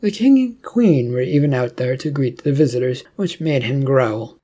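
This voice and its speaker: A man narrating a serial sci-fi story in a plain, slightly halting reading style. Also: medium pitch, expressive, dark tone.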